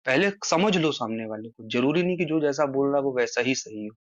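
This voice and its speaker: A man's voice: medium pitch, lively intonation, fairly quick, no echo. A man on a phone call, earnestly explaining his side at length in casual conversation, his voice rising for emphasis. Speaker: social media creator on a phone call. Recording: muffled dry phone-call recording.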